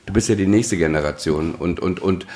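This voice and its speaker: A man co-hosting a casual chat, often halting as he searches for words. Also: low voice, a little mellow. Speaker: podcast co-host.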